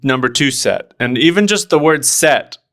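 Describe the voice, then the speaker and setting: A man's voice: mid-range voice, animated, moderate pace, fairly bright tone. A young man from Oregon talking casually and earnestly as a guest comedian, with lots of fillers and restarts. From Oregon, United States. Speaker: stand-up comedian, podcast guest. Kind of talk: casual conversation.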